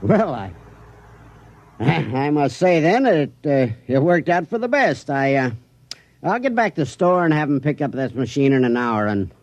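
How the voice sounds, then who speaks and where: A man's voice: a little high, expressive, normal speed, a little mellow, no echo, lo-fi sound. An actor playing an anxious, emphatic company man trying to avert a killing in a 1950s radio drama. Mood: flustered relief. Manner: hesitant, flustered, emphatic. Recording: noisy vintage 1950s radio broadcast.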